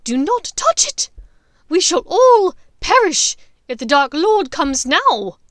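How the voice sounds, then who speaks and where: A woman voicing a maniacal, imperious dark witch in an audiobook, theatrical, contemptuous and explosive. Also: very high-pitched, very animated, takes it slowly, no room sound, clean studio sound, voice pushed up, heavily acted. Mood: shrieking alarm. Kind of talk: dramatic audiobook character voice.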